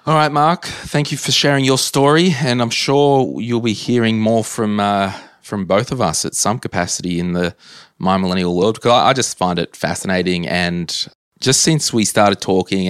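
A man's voice: low-pitched, steady tone, moderate pace, clear, resonant tone, dry recording, clean studio sound. An Australian man hosting a podcast, chatting casually and thinking aloud in a rambling, tentative way. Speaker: podcast host. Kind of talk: casual interview chat.

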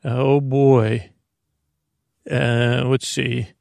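A man with a creaky voice hosts a bedtime sleep podcast in a soothing, meandering, halting monologue full of stammers and self-interruptions. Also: mid-range voice, level tone, a little mellow, no room sound. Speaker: podcast host.

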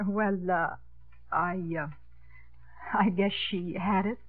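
A woman's voice: medium pitch, fairly even intonation, slow. An actress playing a proper, guarded widowed lady mayor, prim and a little hesitant, in 1950s American radio drama style. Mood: flustered, polite. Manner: prim, hesitant, coolly polite. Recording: tinny old radio broadcast, music behind.

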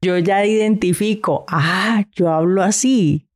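A woman from Santander, Colombia, with a softened Santander accent, talking thoughtfully as a guest in a casual conversation. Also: mid-range voice, slow pace. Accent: Santander Colombian Spanish, softened.

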